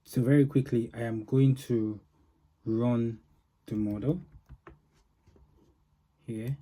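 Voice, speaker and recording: Dark-voiced man presenting a software demo. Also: mid-range voice, steady tone, slow pace. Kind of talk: informal product demo walkthrough.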